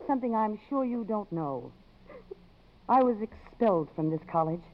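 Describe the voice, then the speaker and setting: An actress playing a poised, principled, outspoken woman in a 1950s radio drama, measured and articulate. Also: mid-range voice, animated, natural voice. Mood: tender, earnest. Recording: muffled old radio broadcast.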